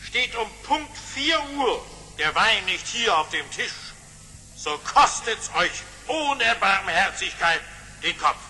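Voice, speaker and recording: An imperious sultan in a radio drama. His highish voice is bright and forceful, pronouncing slowly and pompously in a long echoing hall. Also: big pitch swings, heavily acted. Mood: menacing, amused. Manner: pompous, deliberate, commanding. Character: imperious, threatening yet jovial sultan ruler. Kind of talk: German audio-play acting.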